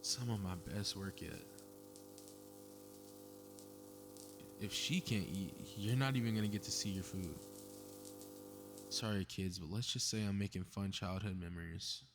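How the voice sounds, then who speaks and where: A man plays a cocky young superhero with a fairly low voice. He mutters sarcastic, mischievous asides to himself, casually profane, in the manner of an ASMR roleplay audio drama. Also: normal speed, noisy background. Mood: smug, mischievous. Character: cocky, snarky young male superhero playing invisible mischief-maker.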